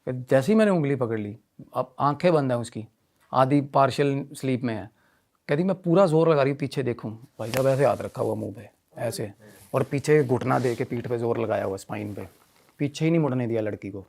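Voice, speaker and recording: A man telling a story in animated conversational style, often repeating himself and mixing in English phrases. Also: mid-range voice, a little fast, vocal fry. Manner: repetitive, mixes Hindi with English phrases. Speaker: podcast guest telling a ghost story.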